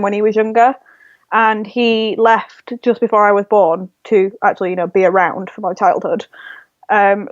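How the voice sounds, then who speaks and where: A woman's voice: mid-range voice, darkish tone, dry recording, dull audio. A woman in her twenties from Leeds with a Yorkshire accent, talking enthusiastically as a podcast guest, full of ums and likes. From Leeds, England. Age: 20s. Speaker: guest, blogger. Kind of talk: casual interview chat.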